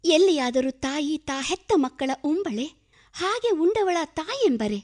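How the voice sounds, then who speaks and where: A woman's voice: high voice, big pitch swings, unhurried, darkish tone. An actress in a radio play playing a compassionate village woman, pleading in impassioned, poetic, old-fashioned literary speech. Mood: earnest pleading.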